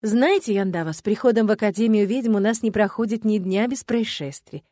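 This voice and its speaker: A woman voicing a wry, measured old male ghost. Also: medium pitch, dark tone, no echo, clean studio sound, lightly acted.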